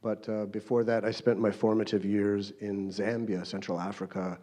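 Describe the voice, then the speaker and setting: A man in his fifties, a panelist introducing himself in a halting way, with many fillers and restarts. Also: fairly low pitch, flat delivery, warm tone. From Canada. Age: 50s.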